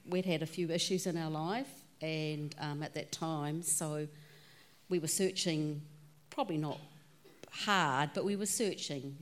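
Woman, New Zealand accent, low voice, conversational, church guest. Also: measured pace. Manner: plainspoken and conversational. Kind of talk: telling her faith story.

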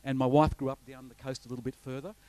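Chatty Australian visiting pastor, dry sound. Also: male voice, medium pitch, flat delivery, fast talker, mellow, dry recording. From north coast of New South Wales, Australia. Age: middle-aged. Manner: chatty, rambling, frequent uh fillers and restarts. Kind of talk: informal sermon introduction with slides.

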